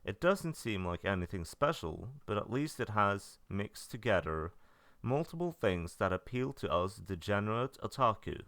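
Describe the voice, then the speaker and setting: A man's voice: fairly low pitch, very animated, normal speed, fairly bright tone, no echo, clean studio sound. A man narrating a review roundup from loose notes, in a matter-of-fact and blunt way. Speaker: video narrator reviewing visual novels. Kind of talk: semi-scripted review narration.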